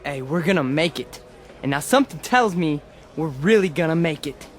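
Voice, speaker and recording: Man acting fervent young demagogue, high roomy voice. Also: expressive, moderate pace, lightly acted. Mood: subdued, hopeful. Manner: impassioned, rhetorical. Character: fervent young demagogue leading a fringe political movement. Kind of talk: radio drama acting. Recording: roomy echo, music behind.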